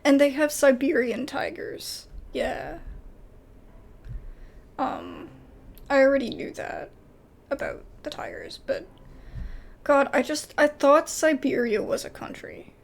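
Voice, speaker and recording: An American woman chatting casually as she hosts a gaming video, giggly and thinking out loud. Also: high voice, animated, slow, creaky, pauses often. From United States. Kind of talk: casual let's play chat and reading game text aloud.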